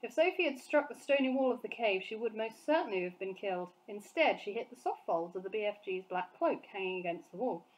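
A woman teacher reads a children's novel aloud in a clear, expressive way. Her voice sits at an average pitch for a woman, and she paces the narration for young listeners. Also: fairly quick, few pauses, rough recording. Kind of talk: storybook read-aloud for children.

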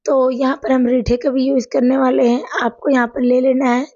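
Woman, hoarse, chatty voice-over host, high voice, dull dry recording. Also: little pitch movement, normal speed, darkish tone, dry recording. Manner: chatty, addresses viewers as friends. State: hoarse from a sore throat. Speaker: cooking and home remedy channel host. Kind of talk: voice-over narration of a home remedy demo. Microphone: voice-over recorded apart from the video.

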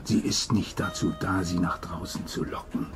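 Casting: man as brooding, conspiratorial manservant, lowish voice, mystery radio play with music behind. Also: steady tone, normal speed, close to an everyday voice. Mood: suspicious. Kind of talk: German radio play acting.

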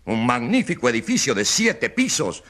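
A pompous populist politician holds forth in a radio courtroom drama. His voice is husky and forceful, swinging widely in pitch, and his delivery is theatrical, scornful and mocking. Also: huge pitch swings, normal speed, exaggerated voice. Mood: pompous, assured.

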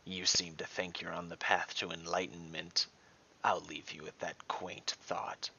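A man voicing a sinister, taunting dragon with a hissing, breathy whisper, speaking slowly and deliberately in an audio story. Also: deep voice, flat delivery, pushed and bright, highs cut off, heavily acted. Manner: slow, deliberate. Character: sinister taunting male dragon, menacing and mocking.

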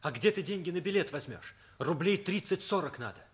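An actor playing a frank, wry teenage schoolboy in a Soviet radio play, speaking plainly. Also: male voice, high-pitched, lively intonation, fairly quick. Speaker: stage actor.

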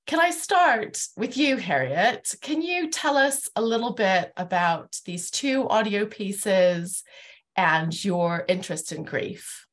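A middle-aged woman hosts a panel discussion in an academic role. Her pitch sits in the middle of the female range. She speaks over a video call from a room at home. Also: lively intonation, slow pace. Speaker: host, university academic. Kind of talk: panel introduction and interviewing.

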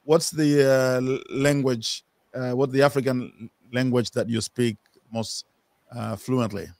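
Brief: Congo-born male host, Swahili first language, halting friendly delivery, dry studio sound. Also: medium pitch, fairly even intonation, vocal fry, a little mellow, no room sound. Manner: friendly, halting, many fillers and restarts. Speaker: interview host. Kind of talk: video-call interview. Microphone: studio mic.

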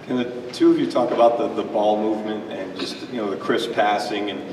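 A male reporter speaks low and flat, drawing out a long question. He sounds distant in a large echoing hall. Room noise is steady behind him. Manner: slow and drawn out, with "you know".

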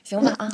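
An actress playing an eager young daughter who pleads and soothes. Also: female voice, fairly high pitch, breathy edge, heavily acted. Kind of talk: TV drama acting.

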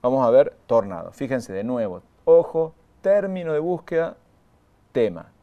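A man's voice: medium pitch, big pitch swings, unhurried, muffled recording. A man, leading a live online training. He explains patiently, repeats the key points and speaks straight to the viewers. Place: studio in front of a graphic backdrop.

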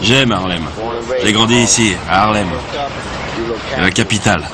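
A man's voice: fairly low pitch, normal speed, over a noisy crowd. Blunt male voice-over translator. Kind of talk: dubbed voice-over of an interview.

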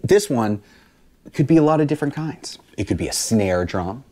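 A man in his thirties reading a picture book aloud and teaching children about musical instruments in an animated, sing-song way, recorded on a lapel mic in a small studio room. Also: medium pitch, moderate pace.

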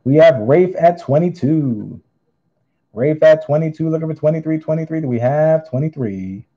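A man hosting a live online auction, calling bids with theatrical, drawn-out singsong phrases. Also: on the high side, lively intonation, highs cut off. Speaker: online auctioneer, channel host. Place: indoors at a tabletop display.

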